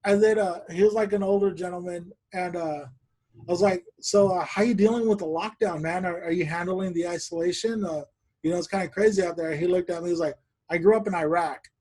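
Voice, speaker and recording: A man hosting a podcast over a video call, telling stories in a relaxed chat and laughing often. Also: sits high, fairly quick, poor audio. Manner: laughs often, animated storytelling. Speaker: podcast host. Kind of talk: casual chat.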